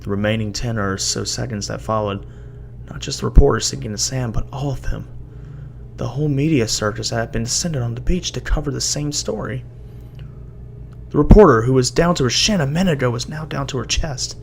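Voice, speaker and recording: A man with a gravelly voice giving a halting, dramatic first-person reading of a horror story as an amateur narrator. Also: medium pitch. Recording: noisy amateur home recording.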